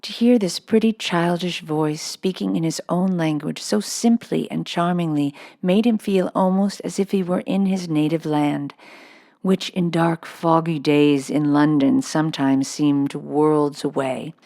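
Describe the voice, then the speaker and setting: Creaky, lower-voiced woman reading a story. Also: fairly even intonation, unhurried, dark tone. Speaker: storybook reader. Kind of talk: scripted children's story narration.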